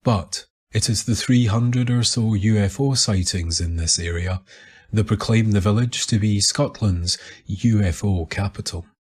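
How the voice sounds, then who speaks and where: A man narrating a scripted documentary story in a measured, deliberate manner. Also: lowish voice, a little mellow, husky. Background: music.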